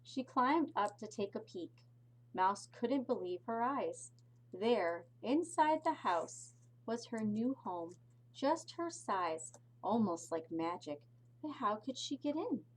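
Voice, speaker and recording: A woman teacher reads a picture book aloud for young children, expressively and deliberately, adding little explanatory asides. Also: a little high, lively intonation, rough recording. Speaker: pre-K teacher reading aloud.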